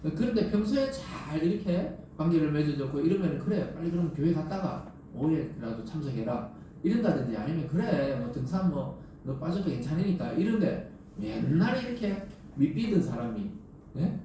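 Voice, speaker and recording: Male preacher, Gyeongsang accent, fairly high-pitched, explanatory and conversational; very reverberant church sound, picked up from a distance. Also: lively intonation, normal speed, mellow. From South Korea. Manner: explains by acting out everyday dialogue and asking rhetorical questions. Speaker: pastor. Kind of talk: conversational expository sermon. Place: church sanctuary during Sunday service. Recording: echoey church, distant mic, faint noise.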